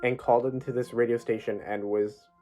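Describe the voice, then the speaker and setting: A man's voice: mid-range voice, fairly even intonation, a little fast, dark tone, dull audio. A man talking as a playwright in a casual, keen promotional interview about his own work. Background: music.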